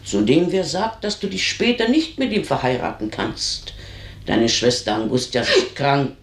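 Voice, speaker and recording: An actress in a German radio drama playing a blunt, knowing old housemaid who admonishes insistently. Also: female voice, deep voice, big pitch swings, a little slow, full character voice. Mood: urgent, persuasive. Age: older. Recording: roomy, echoing radio drama recording.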